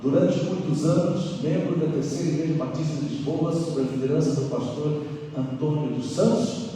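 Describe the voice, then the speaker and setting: A man's voice: a little high, moderate pace, warm tone. A man in his sixties from Angola with a Brazilian accent, a pastor teaching at a seminar in a conversational way, telling personal anecdotes and addressing listeners directly. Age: 60s. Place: church hall, before an audience. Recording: echoey hall, distant mic, faint noise.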